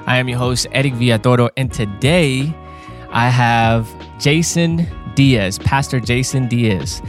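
A man's voice: medium pitch, a little slow, soft background music. A man hosting a podcast, introducing and interviewing a guest in a friendly, upbeat, casual way. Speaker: podcast host.

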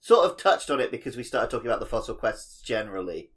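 A man's voice: mid-range voice, big pitch swings, quick pace, fairly bright tone. A man co-hosting a video game podcast in casual conversation. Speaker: podcast co-host.

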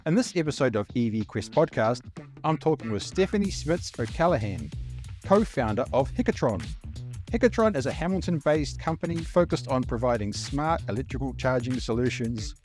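A man's voice: mid-range voice, sing-song, moderate pace, darkish tone, soft background music. A man with a New Zealand accent, hosting a podcast and asking his guest loose questions. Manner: reads intro, then asks loose questions. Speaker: podcast host. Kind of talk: podcast interview.

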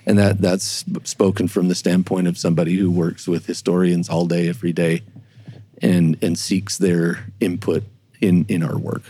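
A man speaking as a historian guest in an explanatory discussion, halting and careful, often repeating words as he works out his point. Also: low voice, flat delivery, dark tone, slightly rough. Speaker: church historian, interview guest.

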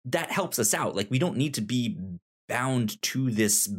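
Halting man, unhurried podcast chat. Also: medium pitch, lively intonation, breathy edge. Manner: halting, repeats words while thinking aloud. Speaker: podcast co-host reviewing manga. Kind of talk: casual book discussion.

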